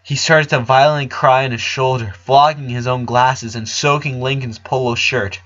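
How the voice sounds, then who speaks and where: A man's voice: mid-range voice, fairly even intonation, moderate pace, fairly bright tone, dull audio. A man reading a story aloud as a dramatic narrator. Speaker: fan fiction reader. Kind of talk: dramatic audiobook narration with character voices.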